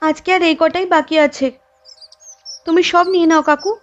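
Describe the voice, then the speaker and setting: A woman's voice: very high-pitched, fairly even intonation, moderate pace. A woman voicing an earnest young village woman who runs a food stall. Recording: dull lo-fi with music bed.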